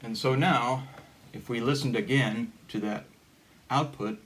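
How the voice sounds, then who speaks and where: A man giving a casual hands-on gadget demonstration in a halting, informal, step-by-step way. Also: mid-range voice, poor audio. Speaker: hobbyist demonstrator. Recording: steady background noise.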